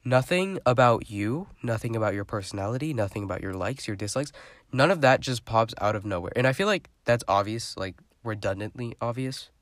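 A man's voice: medium pitch, animated, brisk, no echo. A young man hosting his own podcast, talking in a rambling, chatty way with a stuffy, congested voice. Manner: rambling, chatty, lots of fillers. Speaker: podcast host. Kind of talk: casual solo podcast monologue.

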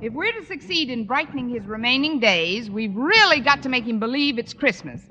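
Wry wisecracking radio comedy schoolteacher. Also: female voice, on the high side, animated, brisk, sharp tone, lo-fi sound. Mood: brisk, earnest. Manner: dry, sardonic delivery. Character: wry, wisecracking female schoolteacher, quick with dry quips. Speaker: lead actress in a radio comedy. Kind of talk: old-time radio sitcom acting. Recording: noisy vintage radio recording, studio audience.

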